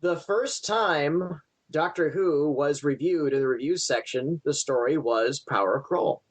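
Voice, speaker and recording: A man's voice: fairly high pitch, unhurried. A middle-aged man in casual fan chat on a podcast, rambling enthusiastically as he tells stories. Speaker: podcast panelist.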